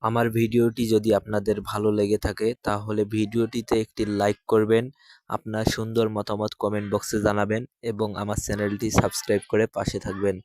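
A man's voice: lowish voice, completely flat delivery, a little fast, darkish tone, no room sound. A man speaking as a channel host and animation creator, making an informal announcement to viewers.

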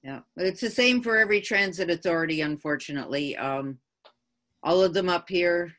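A woman's voice: on the low side, normal speed, a bit bright. A woman town councillor talking informally on a video call, with hesitant, loosely structured phrasing. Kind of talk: informal meeting discussion. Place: remote video call from a room.

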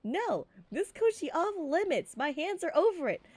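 A woman's voice: very high-pitched, very animated, normal speed, darkish tone. A woman playing a tired, stubborn sailor girlfriend in a game roleplay, blunt, firm and nagging. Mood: firm, dismissive.

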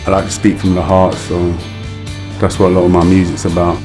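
A man's voice: deep voice, flat delivery, brisk. A middle-aged man, talking candidly and casually in a documentary interview. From London. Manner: candid, street-casual talk. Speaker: project member, musician. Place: indoor art room. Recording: music bed underneath.

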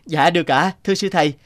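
Polite, earnest young woodcutter, higher-pitched. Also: male voice. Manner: respectful, earnest. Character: honest, polite, hardworking young male woodcutter. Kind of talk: cartoon folk-tale dialogue.

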